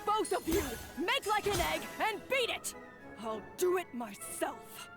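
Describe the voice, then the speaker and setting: Very high, imperious young chef. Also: female voice, big pitch swings, unhurried, over music, heavily acted. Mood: furious. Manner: sharp, imperious. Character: haughty, hot-tempered young female chef.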